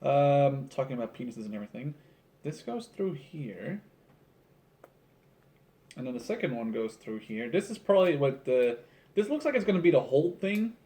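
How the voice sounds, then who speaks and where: A man's voice: mid-range voice, big pitch swings, normal speed, pauses often, warm tone. A man in his early twenties chatting casually in a rambling vlog style, informal and often interrupting himself with jokey asides. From Canada. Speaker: video creator building a toy model. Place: small room at home, seated at a table indoors. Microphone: camera on tripod about a metre or two away.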